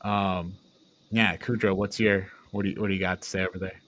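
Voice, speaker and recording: A man's voice: low voice, little pitch movement, a little fast, fairly bright tone. A man hosting a casual movie review chat, talking in an agreeable, informal way with some casual swearing. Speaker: podcast host.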